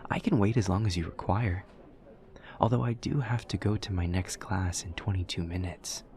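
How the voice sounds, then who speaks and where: A man's voice: sits low, moderate pace, a little mellow, slight background noise. A man playing an awkward, earnest young demon student in an audio roleplay, speaking in a formal, literal, over-explaining way. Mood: earnest, matter-of-fact. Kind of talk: audio roleplay acting, addressing the listener.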